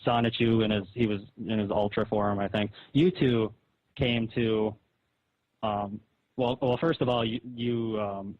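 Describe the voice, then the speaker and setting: A man with a low, husky voice talks over a dull phone recording. He is halting and repeats himself as he reproaches the other person in an argument. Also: no room sound. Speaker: comic artist, caller.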